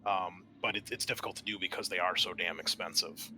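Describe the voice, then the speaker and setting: A man's voice: deep voice, a little fast, sharp tone, slight background noise. A man working as game master in a tabletop roleplaying game, explaining things in a conversational way. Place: online voice call.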